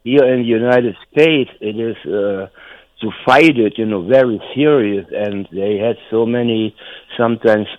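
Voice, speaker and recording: Elderly man, German accent, rambling and repetitive, lowish pitch, dry narrow telephone sound. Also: unhurried, no room sound. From North Rhine-Westphalia, Germany. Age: 70s or older. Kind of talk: casual reminiscence. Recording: tinny phone line.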